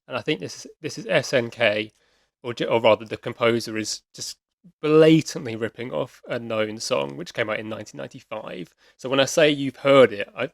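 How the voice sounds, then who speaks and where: Gravelly-voiced man hosting a quiz. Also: medium pitch, dry recording. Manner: chatty, explains at length, repeats words while thinking. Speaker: quiz host. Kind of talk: casual podcast chat.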